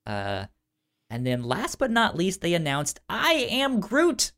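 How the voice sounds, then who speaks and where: A man's voice: high voice, wildly swooping pitch, slow, pushed and bright. A man hosting a casual pop-culture podcast in an informal, slangy way, often stuttering and repeating syllables. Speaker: podcast host.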